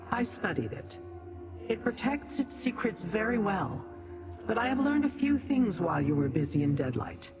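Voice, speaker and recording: An actress playing an imperious, scholarly demon sorceress in a video game, speaking formally and with scorn for mortals. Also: female voice, lowish voice. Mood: thoughtful. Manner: formal, measured, haughty delivery.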